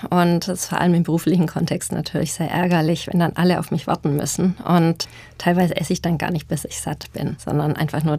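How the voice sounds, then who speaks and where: A woman's voice: lowish voice, a little mellow, no room sound. A woman in her thirties, a social psychologist, speaking openly about her own habits as a radio guest. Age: 30s. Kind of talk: casual discussion.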